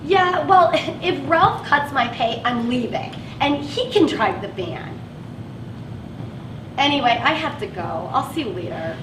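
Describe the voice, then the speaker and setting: Actress doing a fed up working woman van driver, highish lively voice, noisy echoey stage recording. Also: measured pace, far from the mic. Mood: indignant. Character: frustrated working woman, a van driver stuck in her job. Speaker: actress in a one-act play. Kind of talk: live stage play acting.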